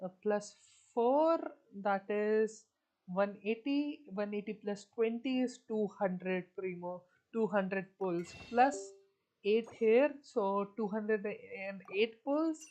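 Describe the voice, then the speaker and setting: A woman's voice: medium pitch, takes it slowly, mellow, poor audio. A woman chatting casually on a game livestream, thinking aloud in a hesitant way. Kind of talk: casual livestream chat while gaming.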